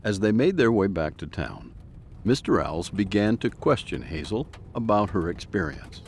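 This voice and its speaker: A man's voice: fairly low pitch, sing-song, normal speed, creaky, a little hiss or hum. A man narrating a scripted story in a theatrical, suspenseful storyteller's manner.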